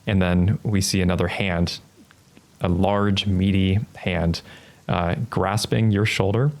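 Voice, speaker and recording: The deep, crackly man behind the screen at game night, pausing to think as he paints the scene for his players. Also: fairly even intonation, a little mellow. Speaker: game master. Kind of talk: narrating a tabletop roleplaying game, improvised.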